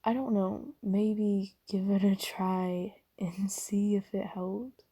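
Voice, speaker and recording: A woman voicing a gentle, caring demon in a soft ASMR roleplay, hushed, breathy and soothing, often slipping into whispers. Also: mid-range voice, little pitch movement, slow pace. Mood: soothing, understanding. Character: gentle, caring female sleep paralysis demon comforting someone.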